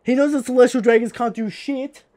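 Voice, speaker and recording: A man's voice: very high-pitched, steady tone, a little fast, darkish tone, dry recording. A man with an Australian accent, co-hosting a podcast in casual chat, blunt and swearing freely as he rants. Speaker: podcast co-host.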